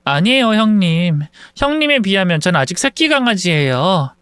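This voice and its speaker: A man's voice: high-pitched, sing-song, normal speed, a bit bright, clean tone, no room sound, clean studio sound, pitched above the performer's own voice. A man voicing a newly married young woman who speaks politely and apologetically.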